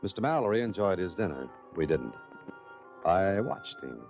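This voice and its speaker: An actor in a 1940s radio drama playing a wry, composed novelist hero who also narrates in a dry, clipped manner. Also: male voice, low-pitched, very animated, moderate pace, gravelly, soft background music, exaggerated voice. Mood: measured narration. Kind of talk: 1940s radio acting and first-person narration. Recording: dull old radio recording.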